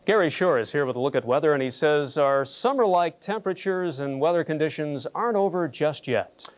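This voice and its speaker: A man anchoring a local evening newscast with a smooth, polished delivery. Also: a little high, animated, a little fast. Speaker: news anchor. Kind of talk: news anchoring.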